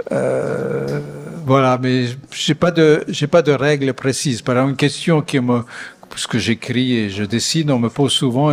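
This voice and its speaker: An older architect, a native Italian speaker from Switzerland, answering questions at a lecture in a hesitant, halting way with many fillers and restarts. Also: male voice, medium pitch.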